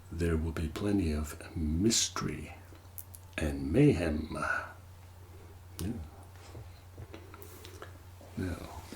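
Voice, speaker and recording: A low, hushed master of a mystery manor, acted out by a man, speaks in formal, courteous ASMR roleplay. He draws his phrases out extremely slowly with deliberate pauses, slipping into whispers. There is noticeable noise behind the voice. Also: creaky, heavily acted. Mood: theatrical welcome. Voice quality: soft, hushed, partly whispered. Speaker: host.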